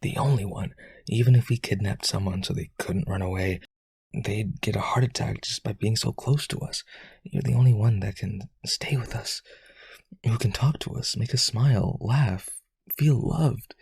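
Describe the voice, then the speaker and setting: A man playing a lonely vampire aristocrat in a romantic audio roleplay, pleading earnestly and haltingly in a breathy, husky voice. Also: a little mellow, no room sound, exaggerated voice. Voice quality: husky, breathy low voice, often near whisper.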